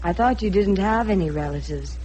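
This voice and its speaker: An actress as a poised, mellow-voiced young heiress. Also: female voice, mid-range voice, animated, fairly quick, close to an everyday voice. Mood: concerned, supportive. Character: poised, candid, marriage-minded rich young woman. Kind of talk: radio drama acting. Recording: noisy vintage radio drama recording.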